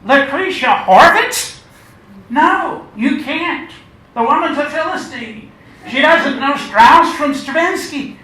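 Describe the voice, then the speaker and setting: A man voicing a vain, jealous, excitable society woman and opera snob, snobbish and exclamatory, in a dramatic story reading. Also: extremely high pitch, takes it slowly, very echoey, distant mic, a little hiss or hum, pitched above the performer's own voice, exaggerated voice, rough recording. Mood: indignant.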